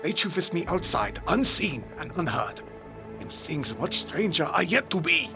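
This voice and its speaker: A man's voice: on the high side, big pitch swings, moderate pace, music in the background. A man playing a grave, insistent older professor and vampire hunter in an audio drama, speaking solemnly and emphatically in formal, stilted phrases.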